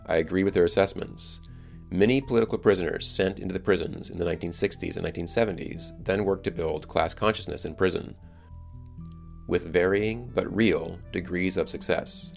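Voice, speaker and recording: Deep, gravelly man narrating a podcast. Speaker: podcast host. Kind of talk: scripted solo narration.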